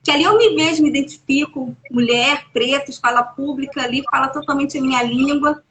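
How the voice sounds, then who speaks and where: High, emphatic Brazilian woman educator. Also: a little fast, a bit bright, rough recording. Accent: Brazilian Portuguese. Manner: emphatic, encouraging, rising when making a point. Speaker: educator running school workshops. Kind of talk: casual conversation on a live stream. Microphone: phone or laptop on a live video call.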